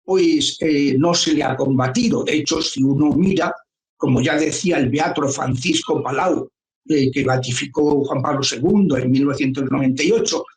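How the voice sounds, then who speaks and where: A man in his seventies, a priest, giving earnest, measured explanations in an interview. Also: mid-range voice. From Spain. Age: 70s. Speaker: priest and guest. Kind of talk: interview answers. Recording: echoey room.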